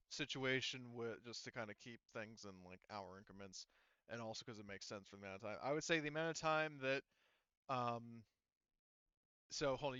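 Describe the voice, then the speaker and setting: A man acting as game master in a casual tabletop session, speaking haltingly and checking details back with players. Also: medium pitch, fairly bright tone, clear, resonant tone, dry recording, lo-fi sound.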